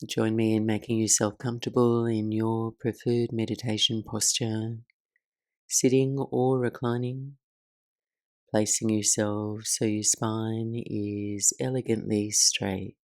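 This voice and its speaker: A woman leading a guided meditation as the teacher, speaking in a soothing, measured way with soft pauses. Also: a bass voice, little pitch movement, no echo.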